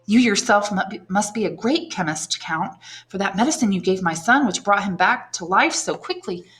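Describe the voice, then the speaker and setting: Woman reading as a nervous aristocratic lady, raised pitch, eager questioning, storybook voice. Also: lively intonation, moderate pace, a bit bright, natural voice. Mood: eager, probing. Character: curious, flattering aristocratic lady, mother, high-strung.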